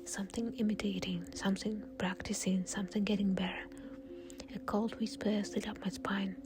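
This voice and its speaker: A woman's voice: mid-range voice, level tone, moderate pace. Whispering woman telling a horror story. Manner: breathy, unvoiced whisper throughout. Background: soft music.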